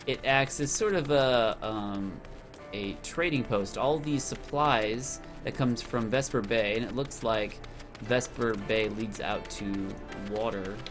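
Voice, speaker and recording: A man casually commenting on a game as he plays, thinking aloud with many fillers and pauses. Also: mid-range voice. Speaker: let's play host. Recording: game music playing behind the voice.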